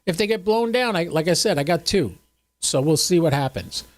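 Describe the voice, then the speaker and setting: Chatty seventy-something man, higher-pitched voice. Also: expressive, moderate pace. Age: 70s. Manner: folksy, joking, rambling. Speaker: host of a ham radio livestream. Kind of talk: casual livestream chat with viewers.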